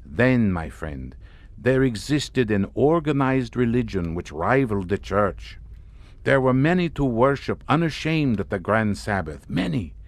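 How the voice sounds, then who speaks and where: An actor playing a courtly, grandiose and mockingly amused Hungarian count in a suspense audio drama. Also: male voice, medium pitch, big pitch swings, unhurried, no room sound. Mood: grandly sinister. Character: sardonic, theatrical Hungarian count, host of a chateau.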